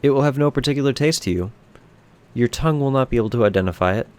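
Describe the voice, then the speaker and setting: A man reading a scripted second-person bedtime story in a measured, solemn storytelling manner. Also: mid-range voice, lively intonation, clear, resonant tone.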